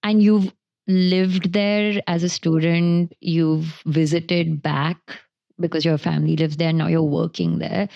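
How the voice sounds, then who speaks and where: A woman's voice: lowish voice, level tone, takes it slowly, gravelly, dark tone, no room sound, muffled recording. A woman podcast co-host asking questions in a casual, informal, agreeable conversational way.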